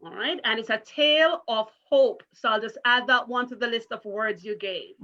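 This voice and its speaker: Muffled, high-voiced woman teacher. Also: normal speed, pushed and bright. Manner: encouraging, careful teacherly address with questions. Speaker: primary school teacher reading a story. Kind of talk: interactive children's read-aloud. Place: online virtual story time call. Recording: muffled video call audio.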